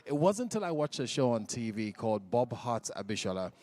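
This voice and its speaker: A man's voice: mid-range voice, big pitch swings, brisk, darkish tone. A Nigerian man with a Nigerian accent, hosting a live stage event and hyping up the crowd.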